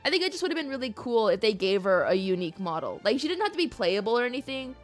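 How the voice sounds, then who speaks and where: A woman streaming a game with a high, very animated voice. She rambles playfully and expressively to her chat in casual commentary, with music faintly behind. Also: quick pace. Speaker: livestreamer.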